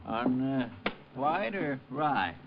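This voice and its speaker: A man playing a mild, polite, hesitant lunch counterman with a creaky voice in a 1950s radio drama. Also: medium pitch, animated, very slow, slight background noise. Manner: hesitant, genteel. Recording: dull old radio recording, music behind.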